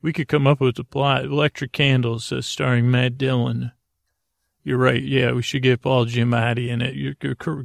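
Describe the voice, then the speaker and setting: A man hosting a bedtime podcast in a creaky, lulling voice, rambling haltingly and trailing off into long-winded tangents. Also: medium pitch, no echo, studio quality. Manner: lulling, soothing delivery. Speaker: podcast host.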